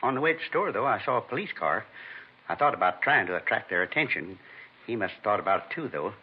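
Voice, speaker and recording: Shaken American husband, male, fifties, 1950s radio drama. Fairly low, halting, earnest, indignant; muffled old broadcast sound. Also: very animated, husky. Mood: distressed, bitter. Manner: halting, earnest, plain-spoken, repeats himself. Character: shaken, indignant fifty-two-year-old husband, a robbery victim.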